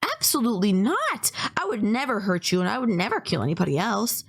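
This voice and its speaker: A woman's voice: mid-range voice, sing-song, brisk, husky. Woman doing a lovestruck yandere girl, super polite and apologetic, rambles on earnestly, anime audio roleplay. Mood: earnest, insistent. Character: polite, gushing, obsessively lovestruck young female kidnapper. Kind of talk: intimate anime-style roleplay audio acting.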